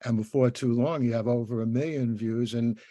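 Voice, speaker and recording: Casting: older man as interview host, effusive and discursive, with a relaxed dark tone and very dry sound. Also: medium pitch, brisk, no room sound. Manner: effusive, rambling. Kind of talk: conversational interview.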